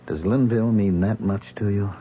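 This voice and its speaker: An actor playing a troubled, defensive stage actor in a 1970s radio drama. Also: male voice, lowish voice, fairly even intonation, normal speed, natural voice. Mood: bitter. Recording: muffled, hissy old radio broadcast recording.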